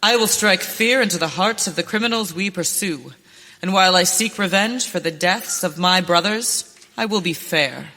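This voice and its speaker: Woman acting a bright, resolute western heroine in hall. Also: fairly low pitch, normal speed. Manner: earnest, resolute, theatrical. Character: determined young rancher woman becoming a masked western avenger. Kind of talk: live western radio theater acting. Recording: reverberant hall, stage performance.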